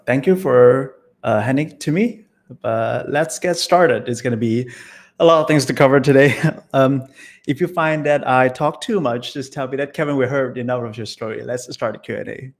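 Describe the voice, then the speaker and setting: A man's voice: mid-range voice, normal speed. Malaysian-born man presenting on a video call. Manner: friendly, rambling with many fillers. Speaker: guest presenter. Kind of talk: online presentation.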